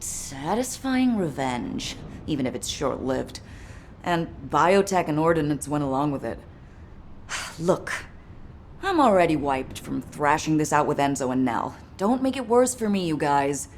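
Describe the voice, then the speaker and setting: A woman's voice: low-pitched, lively intonation, measured pace, slight background noise. An actress playing a defiant, revenge-hungry woman soldier in a video game, blunt and weary. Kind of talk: dramatic video game voice acting.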